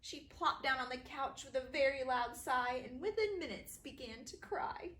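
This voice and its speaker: A woman teacher reading children's stories aloud in an expressive, sing-song way for young listeners. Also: high voice, moderate pace. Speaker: kindergarten teacher reading her own stories. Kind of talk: animated children's storybook reading. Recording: roomy echo, faint background noise.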